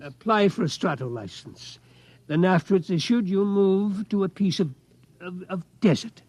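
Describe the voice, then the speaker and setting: An actor playing an obsessed, determined old tycoon in a 1950s radio drama, reminiscing and insistent. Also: male voice, sits high, normal speed, darkish tone, dry recording. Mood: brisk, scheming. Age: older. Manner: reminiscing, insistent, tags sentences with "hmm?". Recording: dull 1950s radio recording.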